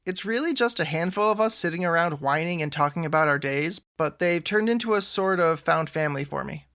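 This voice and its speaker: A man's voice: a little high, brisk, bright, forceful tone, clean tone. A man playing an earnest, awkward, chatty sidekick hero in an audio drama. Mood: eager, friendly. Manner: chatty, earnest, self-effacing.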